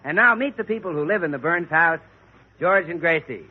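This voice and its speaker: A man's voice: a little high, lively intonation, brisk, heavy background noise. An American man announcing on a 1940s radio show, with an eager salesman's patter. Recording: tinny, narrow-band vintage radio recording.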